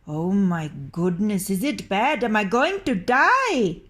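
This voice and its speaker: A woman's voice: mid-range voice, very animated, slow, a bit bright. A woman voicing a frightened, whiny male thief who moans and pleads. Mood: fearful. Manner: moaning, pleading.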